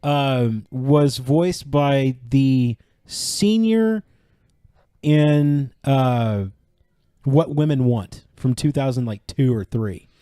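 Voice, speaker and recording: A man co-hosting a podcast with excitable, joking, slangy banter and casual swearing. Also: mid-range voice, extremely slow, clear, resonant tone, no echo, studio quality. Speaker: podcast co-host.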